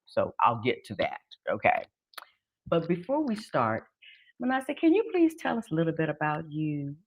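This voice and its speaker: A woman's voice: low-pitched, wildly swooping pitch, normal speed. A middle-aged woman hosting a casual conversational interview, with an affirming, encouraging manner. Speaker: host of an online interview show.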